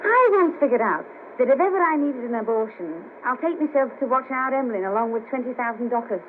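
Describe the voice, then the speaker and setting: A woman's voice: on the high side, expressive, brisk, narrow, tinny audio, close to an everyday voice. An actress playing a chirpy, bawdy, down-on-her-luck streetwalker of about thirty with a Liverpool accent, in a colloquial 1970s radio play. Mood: wry, hopeful. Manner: colloquial, cheeky, earthy. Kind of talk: 1970s radio drama acting. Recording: patchy noisy cassette of 1970s radio broadcast.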